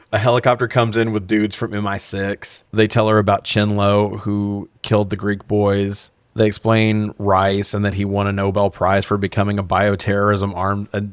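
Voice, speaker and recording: A man with a fairly low voice speaks in a very dry, close recording. He recaps a movie casually as a podcast co-host. His delivery is sarcastic, profane and amused. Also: completely flat delivery, moderate pace, clean tone, no echo. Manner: sarcastic, profane, laughs through remarks.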